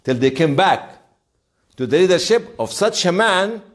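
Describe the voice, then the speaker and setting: Animated man lecturing a class. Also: sits high, unhurried. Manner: emphatic storytelling with rhetorical questions. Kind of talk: informal interactive classroom lecture.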